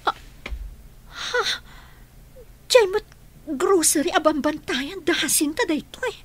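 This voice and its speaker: A woman's voice: very high voice, lively intonation, slow, exaggerated voice. A woman playing a harsh, scheming, indignant aunt in a radio drama, muttering and exclaiming. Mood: alarmed muttering. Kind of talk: radio drama acting in Ilocano.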